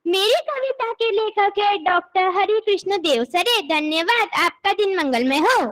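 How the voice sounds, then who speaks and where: A woman's voice: very high voice, animated, a little slow, a bit bright, dry recording. A girl of about ten with an Indian accent reciting a memorised poem. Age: child, about ten. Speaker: school poetry recitation participant.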